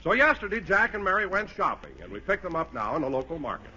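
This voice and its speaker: A man's voice: a little high, very animated, fast talker, pushed and bright, slight background noise. A male announcer in 1940s radio style, speaking in an emphatic, salesmanlike way. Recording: dull old radio broadcast recording.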